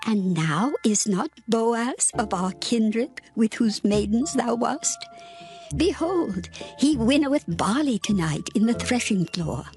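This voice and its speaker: A caring older biblical mother-in-law, speaking very slowly in a fairly high voice with lively swings of intonation. Her manner is motherly and guiding, as in a scripture audio drama. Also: faint music behind. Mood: motherly, advising. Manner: deliberate, motherly, advising. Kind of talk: dramatized scripture acting, archaic English.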